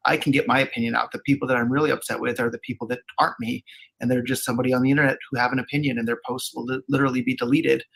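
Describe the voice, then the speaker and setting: A man's voice: mid-range voice, level tone, rapid-fire, vocal fry, a bit bright, husky, rough recording. A man in an archived recorded debate, arguing his position earnestly and repeating phrases for emphasis. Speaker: debate participant. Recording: played from an archived video.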